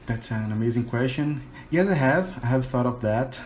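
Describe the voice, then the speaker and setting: A man's voice: medium pitch, moderate pace, a little mellow, a little hiss or hum. A man from Brazil with a Brazilian Portuguese accent, a Portuguese native speaker, chatting casually in English on a video call and often saying "you know". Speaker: English teacher and learner. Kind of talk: casual conversation practice call.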